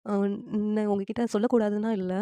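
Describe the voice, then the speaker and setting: A woman's voice: medium pitch, steady tone, a little fast, warm tone, no room sound, lightly acted. Woman acting an outspoken young bride. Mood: explaining. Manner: blunt, argumentative. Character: defiant young new bride, outspoken, unyielding.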